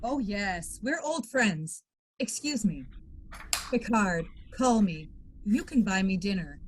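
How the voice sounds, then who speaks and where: A woman playing a brisk, teasing, combative military lawyer in an amateur sci-fi script reading. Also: medium pitch, animated, a little hiss or hum, low-quality recording. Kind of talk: amateur table read of TV script.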